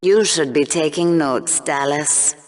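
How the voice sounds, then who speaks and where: A woman's voice: low voice, takes it slowly. An actress playing a cruel, sarcastic female game-show co-host who delivers scornful commentary in a video game. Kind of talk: scripted arena commentary.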